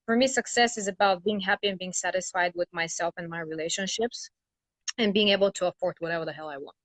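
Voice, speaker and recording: A woman's voice: lowish voice, quick pace, fairly bright tone, no room sound. A woman from Bulgaria, telling her story as an interview guest in a candid, sardonic way, swearing freely. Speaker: interview guest, brand strategist.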